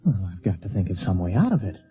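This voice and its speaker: A man's voice: low voice, big pitch swings, fast, over music. An actor playing a scheming but polite city man in a radio drama, anxious, hesitant and easily flustered. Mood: panicked, pleading. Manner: hesitant, exclamatory. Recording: dry, dull radio-drama recording.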